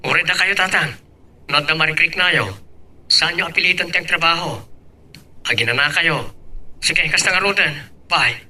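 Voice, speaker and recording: A man's voice: on the high side, normal speed, pauses often, bright, forceful tone. A young man with a Filipino accent playing an earnest, ambitious, dutiful son in a radio-style drama. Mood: caring. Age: young adult. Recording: distant microphone.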